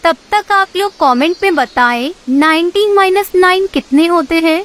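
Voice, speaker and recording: A woman's voice: very high voice, moderate pace, a bit bright. A woman narrating a scripted story for children.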